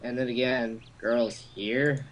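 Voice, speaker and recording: A man's voice: medium pitch, fairly even intonation, slow. A young man reading a game hero's thoughts aloud casually as an amateur, with a goofy, irreverent manner and joking asides. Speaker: amateur reader of the hero's thoughts in a let's play. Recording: amateur home recording with clear background noise.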